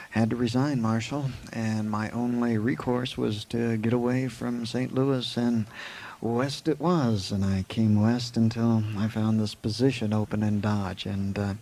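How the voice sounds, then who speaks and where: A man's voice: mid-range voice, mellow, slight background noise, close to an everyday voice. A man playing a genteel, educated westerner with a shady past in a radio drama, speaking formally and with hesitation. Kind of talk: western radio play acting.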